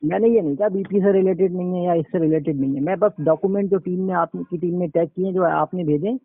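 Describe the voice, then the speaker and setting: Male claims agent, gravelly and a touch high, deferential yet defensive, heard down a phone line. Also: brisk, dry recording. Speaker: insurance claims call executive. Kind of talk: customer service phone call. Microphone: phone call. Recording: crackly narrowband phone line.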